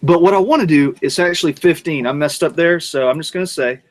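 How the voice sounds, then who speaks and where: A man's voice: on the high side, quick pace. A man hosting a casual outdoor grilling vlog, rambling and joking as he cooks. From North Georgia, USA. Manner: rambling, jokey, talks while working the grill. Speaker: cooking show host.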